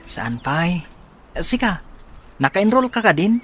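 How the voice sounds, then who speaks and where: A man's voice: high-pitched, very animated, takes it slowly, a bit bright, slight background noise, full character voice. A young man playing an eager, respectful teenage grandson in a radio drama. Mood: friendly.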